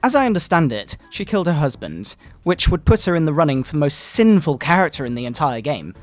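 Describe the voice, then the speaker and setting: Casting: male performer as indignant Bible-thumping father, theatrical and scolding, highly varied intonation, game music underneath. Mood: haughty disdain. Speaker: video narrator. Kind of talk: dramatic satirical reading, sermon-like. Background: video game music.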